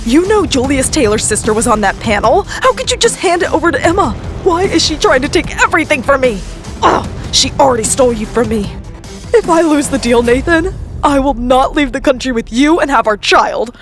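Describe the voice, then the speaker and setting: Melodrama role: actress as scheming, demanding young female rival, high and very animated, indignant. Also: a little fast. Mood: outraged. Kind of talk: melodramatic audio series acting. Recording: music bed under the voice.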